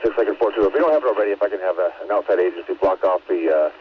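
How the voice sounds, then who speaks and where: Deep, very fast male officer on radio. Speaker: police officer directing a scene. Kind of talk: police radio traffic. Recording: tinny, noisy police scanner radio.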